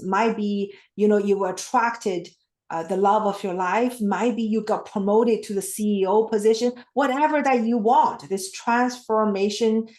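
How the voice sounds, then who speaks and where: A woman's voice: medium pitch, darkish tone, roomy sound. A woman whose first language is not English, explaining things simply and earnestly as a podcast guest, with frequent restarts. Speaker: guest coach. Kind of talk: podcast interview.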